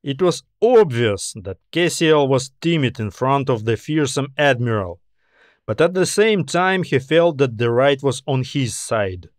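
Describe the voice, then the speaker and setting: A man's voice: medium pitch, very animated, a little slow, no room sound, clean studio sound. A man narrating a scripted story as an audiobook author and reader.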